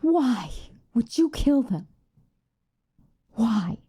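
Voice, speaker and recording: A woman playing a centuries-old female vampire, possessive and vengeful, in a soft, breathy, intense ASMR roleplay. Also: fairly low pitch, dry recording, clean studio sound. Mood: vengeful, obsessive. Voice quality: soft, breathy, often whispered. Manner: intense, dramatic, slow with long pauses.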